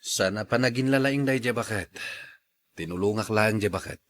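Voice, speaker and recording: A man acting a calm, kind-hearted office worker and patient husband in an Ilocano audio drama, easygoing and reassuring. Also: fairly low pitch, normal speed, natural voice. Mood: mild, sympathetic.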